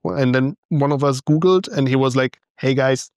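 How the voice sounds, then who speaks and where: A man's voice: medium pitch, normal speed, darkish tone, dry recording, clean studio sound. A young German man with a German accent, co-hosting a podcast, answering questions in a thoughtful, hesitant way and laughing often. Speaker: podcast co-host. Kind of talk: casual chat.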